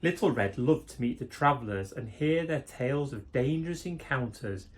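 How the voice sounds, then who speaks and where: A man's voice: mid-range voice, lively intonation, moderate pace. A man who works as a primary school teacher, reading a children's story expressively and addressing young listeners directly.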